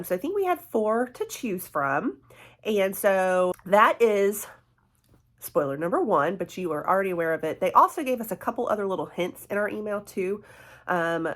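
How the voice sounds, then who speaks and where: A woman in her thirties with a lilt, her nose stuffy from a cold. She chats warmly with viewers, trailing into fillers and little laughs. Her voice sits a bit lower than most women's. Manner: friendly, chatty, fillers and laughs, addresses viewers as guys and y'all. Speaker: beauty vlogger. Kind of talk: casual chatty product review.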